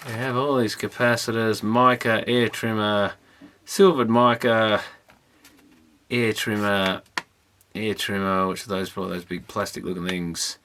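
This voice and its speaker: A man's voice: fairly low pitch, fairly even intonation, measured pace, fairly bright tone, slight background noise. A man thinking aloud in a casual, unscripted workbench commentary, muttering tentatively as he works. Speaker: amateur electronics repairer presenting. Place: repair workbench indoors.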